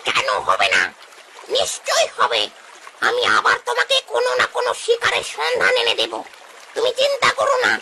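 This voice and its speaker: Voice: very high voice, huge pitch swings, normal speed, gravelly, exaggerated voice. A performer voicing a nervous, fawning crow scout in a cartoon, stammering, pleading and eager to please. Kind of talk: cartoon story dialogue. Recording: loud background noise.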